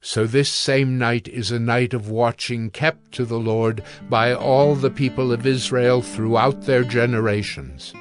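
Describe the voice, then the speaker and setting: A male narrator reads a biblical epic with solemn, measured delivery. His voice sits at a medium pitch, with soft music behind it. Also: steady tone. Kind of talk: dramatized scripture narration.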